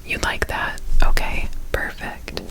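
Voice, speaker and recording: Someone whispering softly and breathily in an ASMR roleplay, speaking gently to the listener as an examiner and often repeating words, close to the microphone. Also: slow, rough recording.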